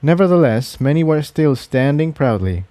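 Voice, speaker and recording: A man's voice: fairly high pitch, expressive, normal speed, dark tone, dry recording. A man narrating a written adventure story as a reader of fan fiction.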